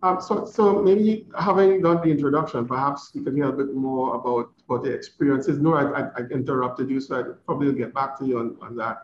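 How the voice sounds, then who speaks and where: A man, hosting an informal conversation in a halting, conversational way as a university principal. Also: medium pitch, highs cut off, noisy background. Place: office, indoors. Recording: roomy office sound, faint music bed.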